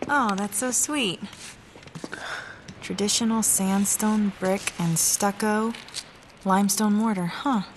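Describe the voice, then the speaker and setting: An actress playing a plucky young TV reporter in a video game, teasing and breezy. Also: female voice, mid-range voice, takes it slowly, long pauses, slight background noise, natural voice. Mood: teasing, confident. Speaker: hero's companion, a TV presenter. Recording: game music behind the voice. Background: music.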